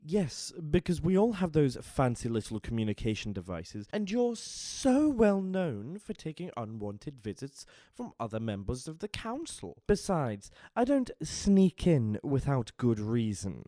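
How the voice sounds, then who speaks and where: A man's voice: medium pitch, sing-song, unhurried, hardly any pauses, mellow, no echo. A man playing a sly, impudent vampire seer in an audio drama, speaking in a florid, mock-courteous, dramatic manner. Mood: sly, teasing.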